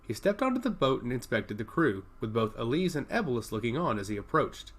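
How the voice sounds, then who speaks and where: A man reading scripted fantasy narration in a measured, formal storytelling manner. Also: mid-range voice, very animated.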